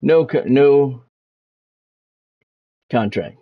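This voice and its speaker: A man's voice: medium pitch, drawn-out words, phone-quality sound. A man hosting a livestream in a casual way, giving sports news and often stopping to repeat words and phrases. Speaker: livestream host, former radio play-by-play announcer.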